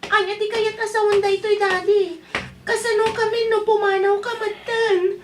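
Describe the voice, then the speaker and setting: A woman's voice: very high voice, flat delivery, moderate pace, background noise. A woman with an Ilocano accent playing an anxious, pleading young daughter in a radio drama. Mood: distressed pleading.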